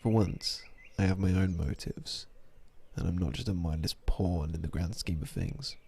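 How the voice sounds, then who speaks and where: Man acting as a deep, gravelly, brooding sniper. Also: moderate pace, a little mellow. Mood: brooding, resolute. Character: weary, cynical vampire-hunting male sniper, an organization's assassin. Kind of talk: ASMR audio drama monologue.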